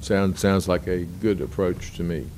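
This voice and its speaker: A man chairing a county government meeting, speaking haltingly with frequent restarts and fillers. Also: low voice, fairly even intonation, darkish tone, a little hiss or hum. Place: county board meeting room.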